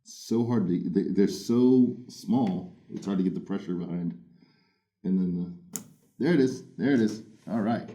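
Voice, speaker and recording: A loud, big-voiced man hosting a casual, playful unboxing of earphones. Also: low voice, measured pace. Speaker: headphone reviewer. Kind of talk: casual unboxing first impressions.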